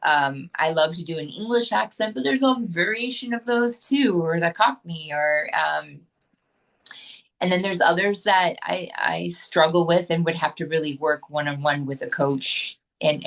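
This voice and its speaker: A woman with a fairly low voice talks about her acting work as an interview guest. She is chatty and upbeat, and she often stops and restarts her sentences. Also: moderate pace, gravelly, fairly bright tone. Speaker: actress, guest. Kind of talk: casual interview chat.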